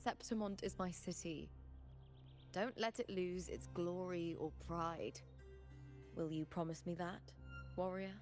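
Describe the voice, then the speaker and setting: A resolute warrior woman who rules her city, speaking in a lowish, gravelly voice. She is proud and commanding, in video game style, with clear music behind her. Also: measured pace, long pauses, darkish tone. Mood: solemn, commanding. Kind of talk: video game story voice acting.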